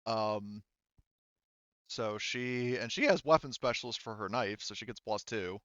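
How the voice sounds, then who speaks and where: A man's voice: on the low side, measured pace, fairly bright tone, dry recording. A man running a tabletop roleplaying game, casually narrating and making rulings as he thinks aloud, on a slightly dull microphone. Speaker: game master.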